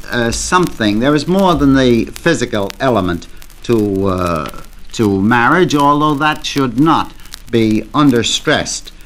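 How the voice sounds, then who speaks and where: A man with a medium-pitched voice takes part in a 1940s radio panel. His wording is formal and deliberate, as a considered guest in a studio debate. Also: fairly bright tone, slight background noise, poor audio.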